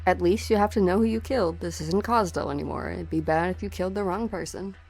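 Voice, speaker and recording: A woman's voice: on the low side, fast, a little mellow. A woman voicing a cold, taunting assassin in a dramatic game dub, with a cool, menacing delivery. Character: cold, taunting female enemy assassin hunting her prey.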